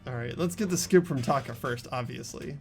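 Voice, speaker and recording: A man's voice: medium pitch, a little fast, creaky, soft background music. A man reading expressively as amateur narrator and protagonist of a video game, mixed with casual chat.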